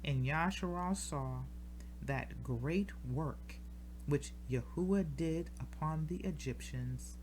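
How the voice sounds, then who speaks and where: Woman, scripture reader, slow measured delivery, solemn phrasing, deliberate pauses, faint noise behind. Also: sits low, a little mellow, rough recording. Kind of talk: solemn scripture reading from the King James Bible.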